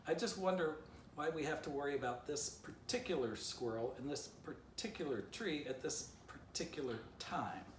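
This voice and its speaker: A man reads as a reasonable male dog, leader of a storybook pack. The dog coaxes and placates gently and patiently. The voice sits a little far from the mic in a roomy space. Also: on the high side, expressive, moderate pace, pitched above the performer's own voice, natural voice. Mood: patient, placating. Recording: roomy echo, distant mic, faint noise.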